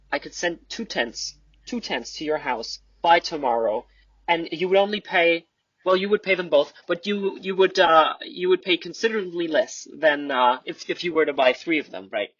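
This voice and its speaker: A man improvising a role-play as an exasperated, pushy shop customer service worker, with halting, repetitive sales patter. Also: fairly high pitch, fairly bright tone, husky, dry recording. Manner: halting sales patter, repeats himself, turns self-pitying. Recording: dull lo-fi, distant mic.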